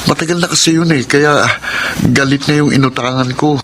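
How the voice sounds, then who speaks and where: Whining, higher-voiced Filipino gambler son. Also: male voice, brisk, fairly bright tone, slight background noise. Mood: pleading. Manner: whining, pleading, defensive. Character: irresponsible adult son, a pleading, evasive gambler. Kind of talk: radio drama acting.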